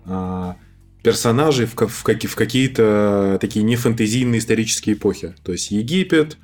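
A man co-hosting a podcast, discussing films casually and haltingly, often repeating the starts of words. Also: lowish voice, a little mellow. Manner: hesitant, stammering word repetitions. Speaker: podcast co-host. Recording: music bed behind the voice.

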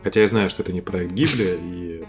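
A man's voice: sits low, brisk, over loud music. A man chatting casually as a podcast co-host, often repeating words as he starts his sentences. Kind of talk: casual chat.

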